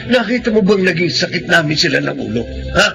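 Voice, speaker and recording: High-pitched furious older Filipino father. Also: very animated, fairly quick, gravelly, over music, exaggerated voice. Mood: berating. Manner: harsh, berating. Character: furious, stern older father shaming his daughter. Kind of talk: Tagalog radio drama acting.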